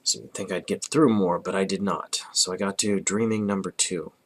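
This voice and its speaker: Hoarse man with a cold, deep voice. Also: steady tone, normal speed, slight background noise. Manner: rambling, frequent restarts and throat clearing. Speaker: vlogger reviewing comics. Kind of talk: casual review.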